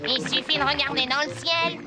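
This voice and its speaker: Woman playing a high-voiced, boastful cartoon cat. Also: steady tone, a little fast, over loud music, lightly acted. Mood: bossy, self-assured. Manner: grandiose, self-assured, theatrical. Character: boastful, scheming talking cat, self-assured fortune-seeker. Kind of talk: French cartoon dub acting.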